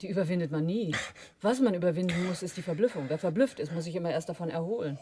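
A woman's voice: lowish voice, quick pace, warm tone, a little hiss or hum, natural voice. An actress playing a cool, assured woman smuggler in a crime radio drama, speaking matter-of-factly.

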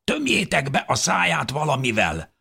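A man voicing a hostile, fearful woman in an audiobook, harsh and accusing with a hoarse edge. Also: fairly high pitch, wildly swooping pitch, pushed and bright, pitched above the performer's own voice, exaggerated voice. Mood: hostile, panicked.

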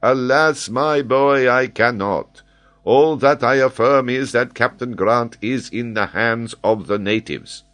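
A man voicing an excitable, learned French geographer, speaking eagerly and emphatically as he explains. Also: medium pitch, slow, voice pushed up. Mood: lecturing. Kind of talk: audiobook character voice.

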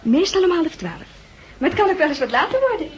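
An actress in a 1960s radio drama playing an excitable, cheerful, kindly teasing hospital nurse. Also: female voice, very high-pitched, fast talker, muffled recording. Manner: gushing, coaxing. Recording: noisy old radio drama recording.

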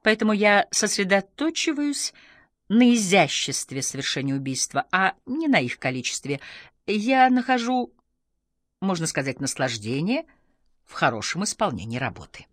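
A woman voicing a cool, self-assured middle-aged female crime novelist, speaking in a measured, serious, deliberate way in an audiobook. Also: medium pitch, very animated, dry recording, clean studio sound, voice pushed up. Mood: smug, composed.

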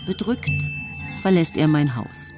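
A woman's voice: low-pitched, animated, measured pace. An actress playing a plotting widow who recounts her deeds with composed gravity in a radio play. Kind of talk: dramatic first-person radio play narration. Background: faint music.

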